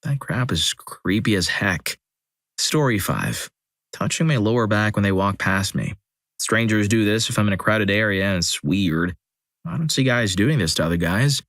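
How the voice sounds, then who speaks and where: Low-voiced man reading stories dryly. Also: normal speed, dry recording. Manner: steady reading with dry, wry asides. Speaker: narrator. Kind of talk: scripted story narration.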